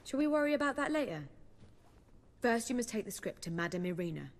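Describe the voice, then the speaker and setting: An impatient young female playwright in a fantasy game: animated, articulate, theatrical, voice ringing with long reverb. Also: fairly high pitch. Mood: defensive, brisk. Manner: expressive, brisk, articulate. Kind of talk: video game dialogue acting.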